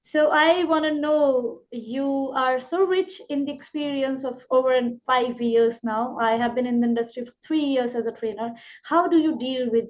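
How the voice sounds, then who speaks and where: Indian English woman host, high pitch, muffled lo-fi sound, eager long-winded questioner. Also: normal speed. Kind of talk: interview questions. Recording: dull, lo-fi line.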